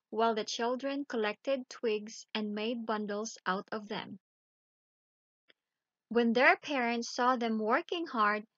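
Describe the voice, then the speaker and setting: A woman reads a children's tale aloud at a slow, deliberate pace. Her voice sits on the high side. The recording is very dry and a little dull. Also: no echo. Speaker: storyteller. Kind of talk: children's bedtime story read aloud.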